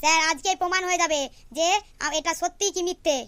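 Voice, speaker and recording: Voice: very high-pitched, fairly even intonation, fast, bright, forceful tone. A performer voicing a whiny, mischievous schoolboy in a comedy cartoon, with mock innocence. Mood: sly.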